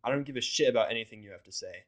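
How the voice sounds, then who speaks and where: A man's voice: mid-range voice, rapid-fire, a bit bright, natural voice. A man voicing a surly, impatient, foul-mouthed hero in a story, blunt and curt. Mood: hostile, impatient.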